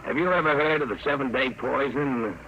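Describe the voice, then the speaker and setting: A man's voice: mid-range voice, a little fast, lightly acted. A man acting a menacing, curt, cynical masked kidnapper in a bullying, sardonic 1950s radio drama style. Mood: smug menace. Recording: scratchy, dull 1950 radio recording.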